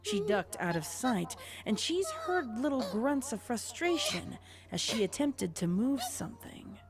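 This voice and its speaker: A woman's voice: a little high, wildly swooping pitch, unhurried, music in the background. A woman reading scripted fan fiction narration as the storyteller.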